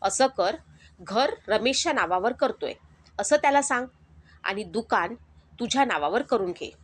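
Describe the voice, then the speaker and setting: A woman's voice: a little high, animated, normal speed, husky. A woman doing a sly, coaxing male friend. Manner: coaxing, giving advice.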